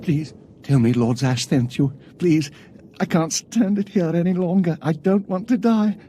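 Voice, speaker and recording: Man playing a nervous, mellow-voiced cowardly inventor. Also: a little high, a little slow, slight background noise, lightly acted. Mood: desperate. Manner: anxious, chatty, pleading. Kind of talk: video game voice acting.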